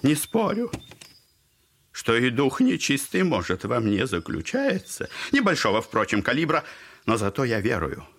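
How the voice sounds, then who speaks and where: A man's voice: mid-range voice, huge pitch swings, unhurried, fairly bright tone, exaggerated voice. An actor playing a garrulous, clownish old father in an audio drama, fawning and theatrical, rambling on with mock politeness. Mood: mock-confessional.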